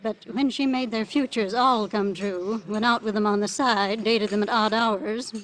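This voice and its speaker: An actress playing a chatty, airily fussy tea shop hostess with arch, rambling politeness in classic American radio drama style. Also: female voice, medium pitch, steady tone, moderate pace, close to an everyday voice. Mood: gossipy, airy. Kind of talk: 1940s-50s American radio drama acting. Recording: noisy old radio recording.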